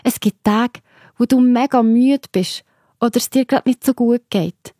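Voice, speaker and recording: A woman narrator with a fairly high but mellow, husky voice tells a children's story in a Swiss German accent. She speaks simply and directly to young listeners, recorded dry and close. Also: a little slow, dry recording.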